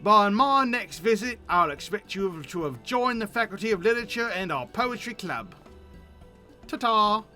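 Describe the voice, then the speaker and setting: A man performs a flamboyant video game poetry lover, cheerful and florid. The voice is high and bright, sweeping widely in pitch with theatrical flair. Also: expressive, moderate pace, faint music behind, heavily acted. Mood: cheerfully grandiose. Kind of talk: game dialogue read aloud.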